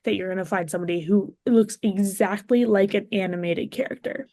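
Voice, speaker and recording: A woman's voice: mid-range voice, fairly quick, dark tone, dry recording. A woman guesting on a podcast, chatting casually and enthusiastically about movies.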